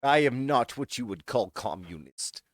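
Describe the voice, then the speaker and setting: A man's voice: medium pitch, animated, normal speed, dry recording, studio quality, lightly acted. A man voicing a male adventurer in a wobbly put-on Russian accent, speaking stiffly and formally. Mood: hammy.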